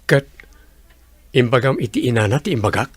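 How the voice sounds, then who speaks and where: A man acts a young village suitor with an Ilocano accent, earnest and a little guarded. His voice sits at an average pitch in a dry radio-drama recording. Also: sing-song, normal speed, breathy edge, dry recording. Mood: earnest, insistent.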